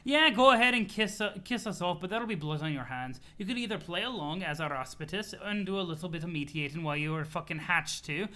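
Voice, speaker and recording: A pompous young troll played by a man with a silly Scottish accent, high-pitched and forceful. He rants with wounded, megalomaniacal indignation, in the manner of a comic webcomic reading. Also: expressive, brisk, few pauses. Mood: melodramatic. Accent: put-on Scottish. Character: haughty, foul-mouthed, megalomaniacal young male troll sea dweller. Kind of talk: comic read-aloud of a webcomic.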